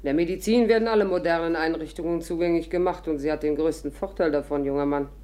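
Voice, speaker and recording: An actress playing an imperious, curious old stage diva with a declamatory, condescending manner in a 1950s radio play. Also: female voice, sits low, fast talker, dark tone, lightly acted. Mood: grand, declaiming. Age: older.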